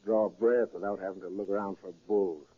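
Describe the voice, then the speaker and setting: Husky, tough 1930s gangster. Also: male voice, sits low, fairly even intonation, brisk. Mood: weary, affectionate. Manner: slangy, terse tough-guy talk. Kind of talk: 1930s radio drama acting. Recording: muffled, lo-fi 1930s radio recording.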